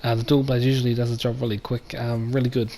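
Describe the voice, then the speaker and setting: A man talks casually over his gameplay in a mid-pitched voice, croaky from a recent flu. He rambles and pads with frequent ums. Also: little pitch movement, fast, mellow. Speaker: gamer. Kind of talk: casual let's-play commentary.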